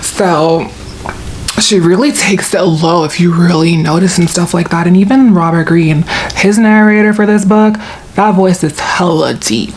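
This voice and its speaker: A young woman from New York talks advice in a casual, slangy, blunt way. She has trained her voice to sit very low. Faint hiss sits under the recording. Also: a little slow, a little mellow. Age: 20s. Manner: blunt, slangy, lowered deep voice. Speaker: self-help talker.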